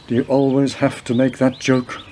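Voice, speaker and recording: A man's voice: medium pitch, completely flat delivery, normal speed, noisy background, lightly acted. A man playing a senior police detective, dry and world-weary, asking terse questions in a radio play. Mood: businesslike. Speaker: actor in a community radio play. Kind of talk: radio drama dialogue.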